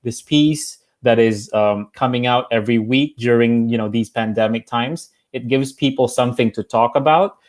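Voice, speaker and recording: A man with an average-pitched voice speaks as a panelist over a video call. He talks thoughtfully, often pausing on "uh". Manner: thoughtful, with frequent "uh"s. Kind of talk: panel discussion.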